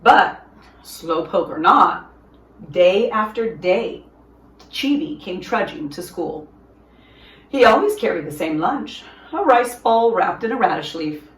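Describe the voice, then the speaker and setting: A woman reading a children's story aloud in an expressive storyteller's style, with deliberate, dramatic phrasing for young listeners. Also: mid-range voice. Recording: roomy echo, distant from microphone.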